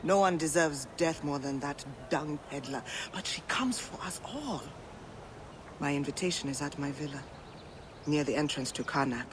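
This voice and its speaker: A woman voicing a brash, cynical gambler in a video game, mocking and quick-tongued. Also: deep voice, background noise, natural voice. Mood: wry.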